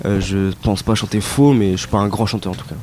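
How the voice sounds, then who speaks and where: A young man giving casual, hesitant interview answers with lots of fillers and relaxed slang. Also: lowish voice, fast talker, slight background noise. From Italian descent, raised in France. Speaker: rapper interviewed by students.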